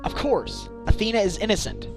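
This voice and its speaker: A man playing an earnest, wry defense lawyer with a measured manner in a video game fandub. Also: mid-range voice, close to an everyday voice. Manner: measured, earnest, dry asides. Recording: echoey room, music behind.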